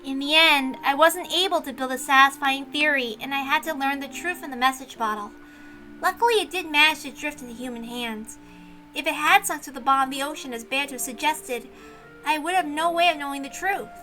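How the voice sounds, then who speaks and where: A woman acts out a bookish young mystery enthusiast from a visual novel. The voice sits very high and rings bright, earnest and thoughtful as it muses. There is music in the background. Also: a little fast, poor audio. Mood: reflective. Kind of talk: dramatic reading of a visual novel.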